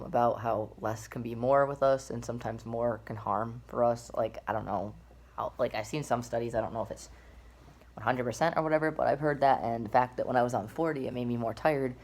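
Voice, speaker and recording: A man's voice: medium pitch, creaky. A young man in his twenties rambling casually to a webcam in a small bedroom, with many fillers and restarts. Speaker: vlogger. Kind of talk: casual personal vlog.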